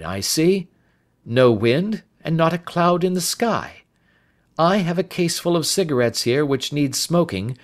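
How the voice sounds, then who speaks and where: A man voicing a sharp, confident Victorian detective in an audiobook, speaking in an assured, articulate and emphatic way. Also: a little high, pitched above the performer's own voice. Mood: breezy, assured.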